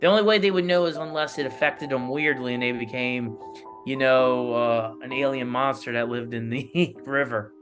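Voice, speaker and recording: A man's voice: medium pitch, steady tone, normal speed. A man speaking as an interview guest in casual, rambling conversation, often checking in with "you know". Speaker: interview guest, analog horror creator. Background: plucked guitar music.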